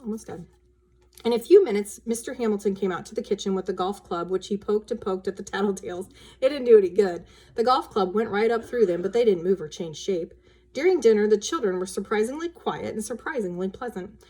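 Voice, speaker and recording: A woman's voice: medium pitch, animated, a little fast, dark tone, breathy edge. Woman reading a children's story aloud. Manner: acts out dialogue with changes of voice.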